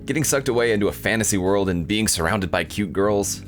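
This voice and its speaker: A man's voice: lowish voice, animated, fairly quick, soft background music, close to an everyday voice. A man voicing an angsty, self-pitying teenage schoolboy, the overwrought hero of a visual novel. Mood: gloomy, dramatic. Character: angsty, melodramatic teenage anime schoolboy protagonist. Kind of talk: visual novel read-aloud.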